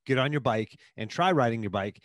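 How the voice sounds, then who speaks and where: A man in his forties, a performance coach, answering interview questions in an earnest, animated way from a home office, talking into a studio mic. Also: medium pitch, fairly quick, no room sound. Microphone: studio mic in front of him.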